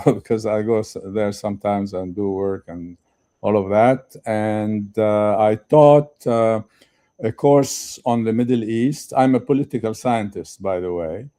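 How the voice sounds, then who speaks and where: Older male guest speaker, low mellow voice, hesitant and full of fillers. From Lebanon. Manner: halting, many fillers. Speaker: guest speaker, retired professor. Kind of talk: conference interview.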